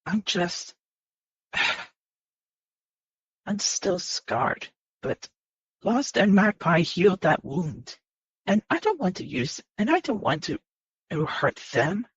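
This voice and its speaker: Slow, husky voice, halting and earnest. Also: mid-range voice, sing-song, a bit bright. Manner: halting, repeating phrases and restarting often. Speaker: video creator. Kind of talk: unscripted personal confession to viewers. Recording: muffled lo-fi mic in echoey room.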